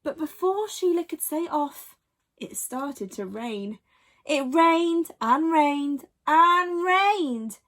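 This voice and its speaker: A woman reading a children's bedtime story expressively, with dramatic emphasis and pauses. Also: high voice, expressive, fairly bright tone.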